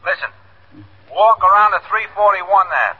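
An actor playing a brisk, businesslike police desk sergeant in a 1950s radio police drama. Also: male voice, high-pitched, animated. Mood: businesslike, instructing. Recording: noisy, tinny old 1950s radio broadcast.

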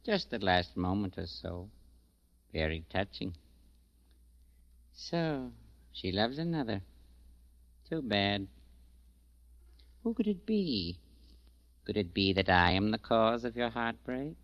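A quietly sinister schemer from an old radio play. He speaks very slowly in a low voice, smug and over-polite. The vintage recording is muffled. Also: big pitch swings, dry recording, exaggerated voice. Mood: mocking. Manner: unhurried, mocking, over-polite. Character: sly, smug young man, falsely sympathetic, quietly sinister schemer. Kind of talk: 1940s radio drama acting.

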